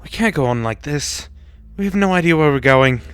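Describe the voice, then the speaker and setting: A man's voice: on the high side, animated, fairly quick, fairly bright tone, clean tone. A man playing a practical, weary teenage schoolboy wizard in an amateur fan-fiction audio drama. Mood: frustrated.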